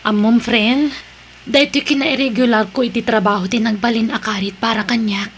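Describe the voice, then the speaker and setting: A woman's voice: fairly high pitch, steady tone, normal speed, slightly rough. A woman playing a polite, earnest young junior employee in an audio drama, speaking with an Ilocano Filipino accent.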